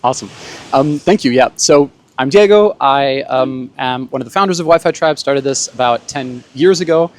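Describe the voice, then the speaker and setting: A man hosts an informal panel discussion. His voice sits at a middling pitch, and he explains things conversationally as he sets up the discussion. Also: normal speed. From Germany and Bolivia. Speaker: host, panel moderator. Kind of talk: casual panel introduction.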